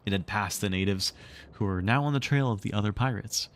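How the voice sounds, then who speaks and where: A man reading a story aloud as an expressive narrator, shaping each sentence for listeners. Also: fairly low pitch, a little fast, slight background noise.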